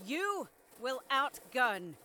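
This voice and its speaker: Commanding female commissar for war audio drama actress: fierce, declamatory, slow-paced, swinging widely in pitch. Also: big pitch swings, exaggerated voice. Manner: forceful, declamatory.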